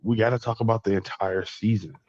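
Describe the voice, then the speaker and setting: Man, casual sports chat, filler-heavy, low voice, dry lo-fi sound. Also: a little fast, no echo. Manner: animated, incredulous, many fillers. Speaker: podcast co-host.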